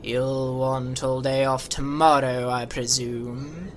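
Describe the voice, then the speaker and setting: A woman's voice: very deep voice, little pitch movement, slow pace, a little hiss or hum. A woman voicing a bitter, miserly old man who sneers at everyone in a harsh half-whisper. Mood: grudging. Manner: sneering, clipped.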